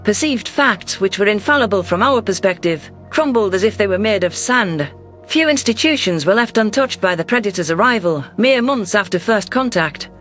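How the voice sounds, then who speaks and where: A man reads a science fiction audio story as its narrator. His voice sits very high for a man, and soft music plays underneath. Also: lively intonation, normal speed. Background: faint music.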